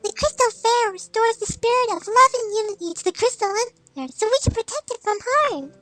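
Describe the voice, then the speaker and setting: Tiny cartoon pony girl, extremely high, lively intonation, playful, earnest, quirky, imaginative. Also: fairly quick, soft background music, heavily acted, rough recording. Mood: dreamy wonder. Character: imaginative, kind, quirky little filly.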